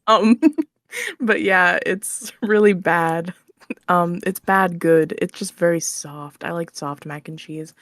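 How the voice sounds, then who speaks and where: A young woman with a fairly low voice, recorded close and dry. She talks casually as a podcast guest, informal and prone to giggling, often softening her statements as she goes. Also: takes it slowly, no room sound. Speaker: podcast guest, audio roleplay creator. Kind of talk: casual interview chat.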